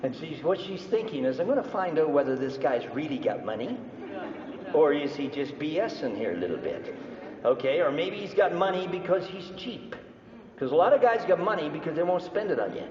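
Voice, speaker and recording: A man's voice: fairly high pitch, very animated, fairly quick, a crowd in the background. A man with a Canadian accent giving a playful live business talk, teasing the audience. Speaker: business speaker. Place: large hall at an expo. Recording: echoey hall, distant mic, dull sound.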